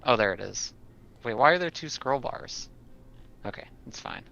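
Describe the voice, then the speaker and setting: A man's voice: medium pitch, lively intonation, moderate pace, gravelly, highs cut off, noisy background. A man chatting casually as a player in a tabletop roleplaying game, with a wry, self-deprecating, sweary way of talking.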